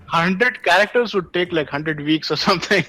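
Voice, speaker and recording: A man's voice: high-pitched, normal speed, bright, forceful tone, poor audio. A man joining a casual panel chat about films and games. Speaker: podcast panelist. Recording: music under the voice. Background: music.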